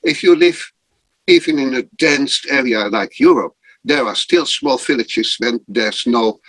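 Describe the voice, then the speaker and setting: A man speaks slowly and deliberately, pausing as he thinks through his answers. His voice sits in a middle register and sounds dry and close, with no room around it. He talks casually over a video call. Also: mid-range voice, fairly bright tone, no room sound, poor audio. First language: not German. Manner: slow, thoughtful, pausing often. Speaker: guest improviser.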